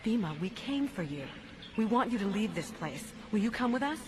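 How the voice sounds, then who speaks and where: A woman's voice: medium pitch, very animated, moderate pace, close to an everyday voice. A woman playing a determined, caring princess in a sci-fi audio drama, earnest and firm. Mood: resolute. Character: determined pregnant princess, resolute and caring wife. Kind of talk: sci-fi radio drama acting. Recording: noisy sound effects and ambience.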